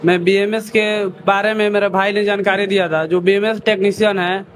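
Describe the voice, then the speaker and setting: High-voiced man from Bihar. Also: little pitch movement, moderate pace, a bit bright, a little hiss or hum. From Bihar, India. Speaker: student.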